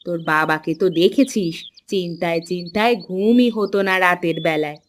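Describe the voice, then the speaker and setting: A woman's voice: fairly low pitch, normal speed, close to an everyday voice. A woman playing a caring, inquisitive middle-aged village mother in a cartoon folk tale. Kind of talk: Bengali animated folk-tale dialogue. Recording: clear background noise, chirping birds.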